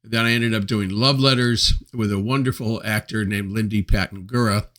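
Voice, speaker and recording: Man in his seventies, lowish voice, reflective host, hesitant with pauses. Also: fairly even intonation, a bit bright. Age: 70s. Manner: unhurried, hesitant with frequent pauses and fillers. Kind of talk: reflective personal monologue.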